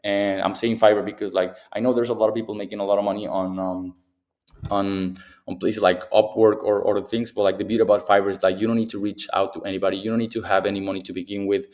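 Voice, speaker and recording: A man's voice: lowish voice, flat delivery, quick pace, darkish tone. A young man from Mexico, a native Spanish speaker with a Mexican accent, casually explaining freelancing to camera, rambling and repeating himself with many fillers. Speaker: freelancing coach. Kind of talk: casual tutorial talk to camera. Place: abroad in Bali.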